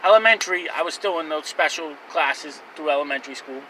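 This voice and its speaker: A man's voice: fairly high pitch, brisk, bright, forceful tone, distant mic, slight background noise, poor audio. A man in his twenties telling his own life story to camera in a rambling, candid way, with fillers and restarts. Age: 20s. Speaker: vlogger.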